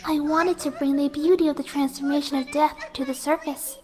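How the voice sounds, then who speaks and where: A woman voicing a gentle, soft-spoken young female pegasus pony who is serenely deranged, in a horror fan fiction reading. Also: sits high, steady tone, voice pushed up, lightly acted. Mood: serene rapture. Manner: gentle, sweet, unhurried while menacing. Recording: music behind the voice.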